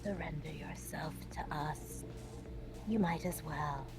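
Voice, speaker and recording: A woman voicing a sinister, seductive fungal monster with a rasping, whispery, menacing delivery. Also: low-pitched, over loud music, exaggerated voice. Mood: sinister coaxing. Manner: slow, menacing.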